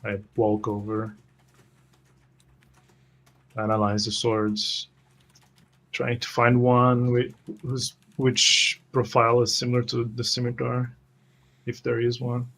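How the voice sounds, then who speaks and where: A male tabletop player with a medium-pitched voice and very little variation in tone. He describes what his character does and speaks its lines, hesitating as he searches for words. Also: monotone, slow, vocal fry, pauses often, a bit bright. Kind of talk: describing his character's actions in improvised play.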